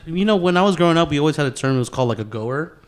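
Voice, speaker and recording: A man's voice: a little high, animated, very fast. A man hosting a casual podcast chat in a garage, speaking informally with slang and swearing. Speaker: podcast host.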